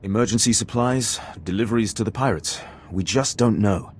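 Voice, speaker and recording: A man playing a composed military officer in a video game, giving formal, measured briefings. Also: lowish voice, a little hiss or hum, lightly acted. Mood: matter-of-fact. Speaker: game character voice actor. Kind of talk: video game dialogue acting.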